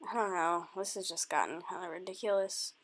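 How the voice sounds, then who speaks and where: A woman with a high voice talking to camera in a rambling vlog, hesitant and defensive, with halting restarts and trailing-off sentences. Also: steady tone. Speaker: video creator. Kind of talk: rambling vlog response to comments.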